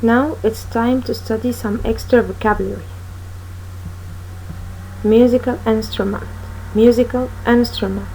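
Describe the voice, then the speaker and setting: A woman teaching an English lesson to young children, speaking slowly and repeating each word for pupils to copy. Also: fairly high pitch, steady tone, warm tone, slight background noise, rough recording. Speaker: primary school English teacher. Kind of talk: recorded classroom lesson for children.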